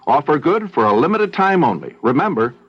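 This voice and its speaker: Male commercial announcer, 1970s radio. Animated read, dry, slightly dull recording. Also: a little high, fairly quick, breathy edge, no echo.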